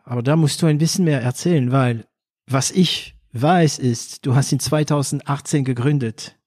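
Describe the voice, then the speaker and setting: A man hosting a podcast interview, chatty and quick to laugh, asking loose, rambling questions. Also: mid-range voice, darkish tone, no echo, studio quality. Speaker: podcast host. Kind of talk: casual interview chat.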